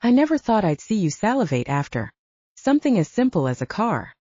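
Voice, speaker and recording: A woman's voice: mid-range voice, sing-song, fairly quick, mellow, no room sound. A woman voicing a playful, teasing female character in an audiobook romance. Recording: dull lo-fi audiobook recording.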